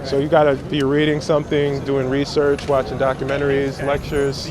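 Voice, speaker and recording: A man's voice: mid-range voice, monotone, normal speed, crowd noise. A man, giving casual, reflective and slangy interview answers as a photographer. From Brooklyn, New York. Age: 20s. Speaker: street photographer being interviewed. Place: outdoors on a city street. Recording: noisy outdoor city street with traffic. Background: urban street ambience, vehicles.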